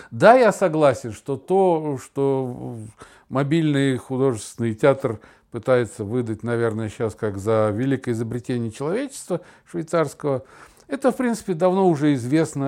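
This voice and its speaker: A man's voice: mid-range voice, darkish tone. A middle-aged man chatting casually as a podcast co-host, telling stories in a sardonic, theatrical way and acting out imagined remarks. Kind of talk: casual chat, storytelling.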